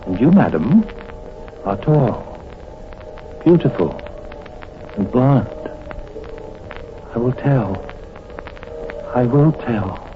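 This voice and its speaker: A formal, precise gentleman custodian in a vintage radio thriller. He speaks slowly and theatrically with wide swings of pitch, in a dull old recording with music behind. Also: background noise. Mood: trance-like, insistent.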